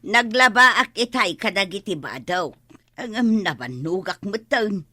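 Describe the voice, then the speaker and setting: A fed-up village wife scolding with an Ilocano accent in a radio comedy. Her voice is high and animated, drawing out her words. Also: a little slow, dry recording, studio quality. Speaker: radio drama actor.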